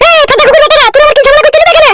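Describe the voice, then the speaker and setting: A woman voicing a scolding, sharp-tongued village woman in a comedy cartoon, with a pitched-up, sped-up voice. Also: fairly even intonation, racing, music in the background. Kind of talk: exaggerated cartoon comedy dialogue.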